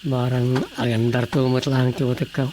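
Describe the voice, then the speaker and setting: A man's voice: medium pitch, level tone, normal speed, noisy background, natural voice. An actor playing a village councilman in a radio drama, muttering to himself as he struggles to write. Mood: grumbling. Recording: cricket and insect night ambience.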